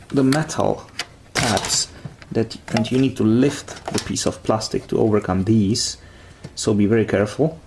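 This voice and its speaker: A man giving a careful, step-by-step hands-on repair tutorial in halting phrases. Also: on the low side, vocal fry, a little mellow, slight background noise.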